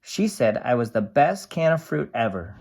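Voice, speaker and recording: Reader voicing an excitable, swooping little aluminum can. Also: mid-range voice, moderate pace. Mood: happy, excited. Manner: bubbly, wide-eyed, expressive storybook delivery. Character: cheerful, excitable aluminum can writing diary entries.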